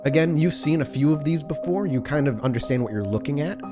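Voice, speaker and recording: A man narrating a tabletop game as storyteller, improvising in a deliberate, explanatory way. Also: a little high, lively intonation, over music.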